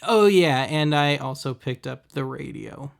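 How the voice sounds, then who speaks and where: A man hosting a web series, giving casual, deadpan, wry voice-over commentary on thrift finds. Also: medium pitch, measured pace, creaky. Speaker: host of a web video series.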